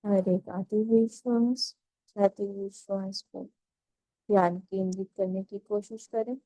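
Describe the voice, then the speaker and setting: Female meditation guide. Soft-edged dark tone, very steady pitch, calm and unhurried delivery. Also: no room sound, poor audio. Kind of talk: slow guided meditation instruction.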